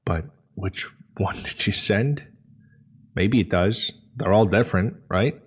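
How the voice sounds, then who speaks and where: A man's voice: fairly low pitch, slow, husky. A man playing a practical, questioning crew member aboard a spaceship in an audio drama.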